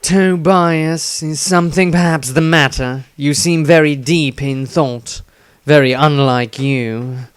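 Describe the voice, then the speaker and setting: A man's voice: on the high side, slow pace, clear, resonant tone, dry recording, clean studio sound, voice pushed up. A man voicing a sardonic, grudging male adventurer with a sneering manner in a fantasy story reading. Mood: needling.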